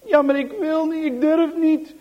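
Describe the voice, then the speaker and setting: A man's voice: very high-pitched, fairly even intonation, unhurried, muffled recording, pitched above the performer's own voice. A man reading a story aloud, voicing a frightened small boy who pleads and whimpers. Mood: scared pleading.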